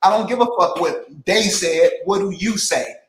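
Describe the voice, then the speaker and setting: A man's voice: high-pitched, normal speed, roomy sound, a crowd in the background. A man hosting a casual interview, blunt and profane, repeating himself to press his guest. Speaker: host.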